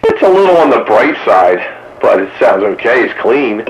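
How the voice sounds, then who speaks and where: A man's voice: medium pitch, very animated, normal speed, low-quality recording. A man talking casually as a radio hobbyist on a CB net. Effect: CB radio transmission. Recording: light CB radio background hiss.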